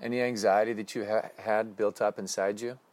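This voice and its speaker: A man leading a guided breathing meditation with slow, calm, instructive cues. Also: on the low side.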